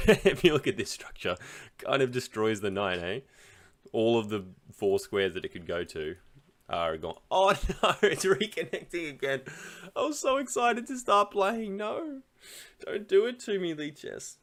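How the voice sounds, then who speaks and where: A man's voice: on the high side, very animated, a little slow, a bit bright. An Australian man talking casually while playing online chess, rambling and thinking aloud, often laughing. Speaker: chess streamer.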